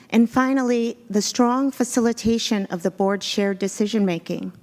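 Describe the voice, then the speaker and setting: A woman whose first language was Spanish gives a formal presentation in a board meeting room. She speaks in a measured, earnest way, with a mid-range voice that is neither high nor low. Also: darkish tone. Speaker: executive search consultant presenting to a school board.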